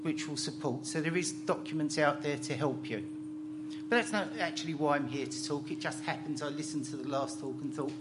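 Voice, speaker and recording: A man's voice: a little high, fairly quick, heavy background noise. A man in his sixties from Essex with an East London and South Essex accent, giving a chatty conference talk with dry, self-deprecating jokes. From Essex, England. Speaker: conference speaker on laboratory pathology. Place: conference hall.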